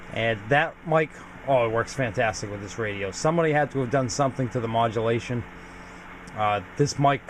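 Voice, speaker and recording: A man's voice: mid-range voice, slight background noise, rough recording. A man giving a casual, informal equipment walkthrough as a hobbyist video host, explaining things plainly. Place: home garage.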